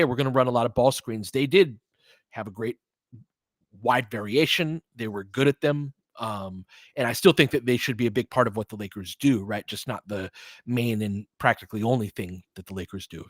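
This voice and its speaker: Man, mid-pitched voice, fan play-by-play host, conversational, thinking aloud, dry close recording. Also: no room sound. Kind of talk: casual live basketball commentary and analysis.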